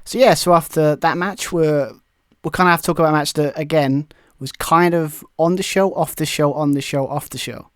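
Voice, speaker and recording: A man's voice: fairly high pitch, steady tone, moderate pace, clean tone, no room sound. Man, from Britain, podcast co-host, casual opinionated chat, self-correcting, dry close recording.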